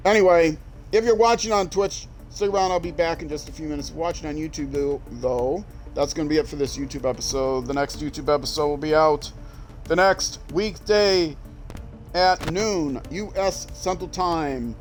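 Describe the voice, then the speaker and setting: A man's voice: on the high side, moderate pace, soft background music. An older man from Minnesota with a Minnesota accent, rambling and self-deprecating as he streams a driving game. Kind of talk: casual gameplay commentary.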